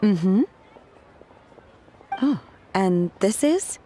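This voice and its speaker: A woman's voice: mid-range voice, expressive, extremely slow, mellow, a little hiss or hum. An actress playing an earnest, polite young woman disciple in a video game, blunt and matter-of-fact.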